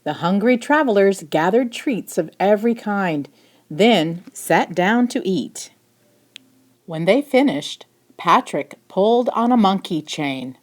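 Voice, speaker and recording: A woman narrating a children's picture book in an expressive storytelling style. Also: mid-range voice, slow. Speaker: storybook reader.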